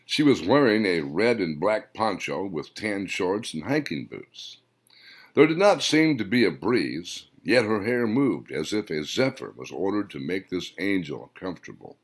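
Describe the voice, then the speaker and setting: Male author-narrator, deep voice with lively intonation, slow measured audiobook read. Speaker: author narrating his own novel.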